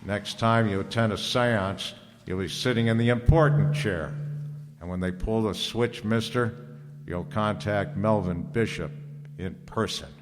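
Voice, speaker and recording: A dry-humored tough detective played by a man speaks in a low voice with deadpan authority. It is old-time radio acting, ringing through a hall with music underneath. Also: unhurried, close to an everyday voice. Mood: grim triumph. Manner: deadpan, commanding.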